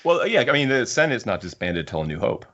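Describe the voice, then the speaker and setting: A man's voice: mid-range voice, big pitch swings, quick pace, a bit bright, a little hiss or hum. A man co-hosting a casual podcast panel, talking things through at length in an analytical, discursive way. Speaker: podcast co-host. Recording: dull, muffled lo-fi audio.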